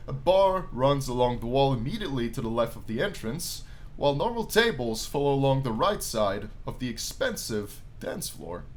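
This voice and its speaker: A man playing a game on his channel, reading the story aloud as animated narration and dropping in casual, jokey asides. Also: mid-range voice, moderate pace, a little hiss or hum. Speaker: gaming YouTuber reading a visual novel aloud. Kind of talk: let's play commentary mixed with dramatic read-aloud narration.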